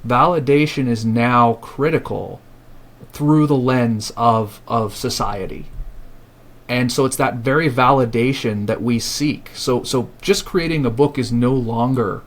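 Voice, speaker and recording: A man in a podcast interview speaks in an earnest, reflective way, his voice sitting at an ordinary middle pitch. He often doubles back and restarts a phrase while he builds toward his point. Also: measured pace. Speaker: publishing house founder, podcast guest.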